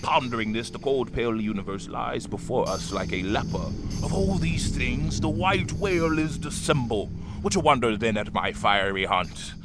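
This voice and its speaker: A man's voice: mid-range voice, expressive, moderate pace, hardly any pauses, over loud music, lightly acted. Man playing a brooding, obsessed old sea captain. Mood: fervent musing. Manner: grandiose archaic speech, musing and declaiming. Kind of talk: theatrical audio drama acting. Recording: clear background noise, stormy ship ambience.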